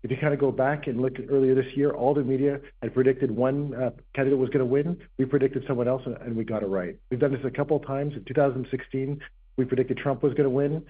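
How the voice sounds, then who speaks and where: A hoarse man presenting his company as CEO at an investor session, brisk and packed with figures, restarting words as he goes. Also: mid-range voice, steady tone. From Canada. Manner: brisk, fact-heavy, frequent restarts. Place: conference session room. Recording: tinny phone-like line.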